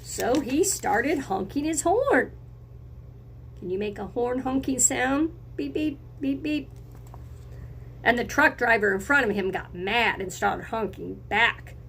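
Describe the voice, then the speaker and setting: A woman's voice: fairly high pitch, slow, vocal fry, fairly bright tone, a little hiss or hum. A woman teacher reading a picture book to young children, speaking expressively in simple phrases and putting encouraging questions to her listeners. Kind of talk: read-aloud lesson for children, with questions to listeners.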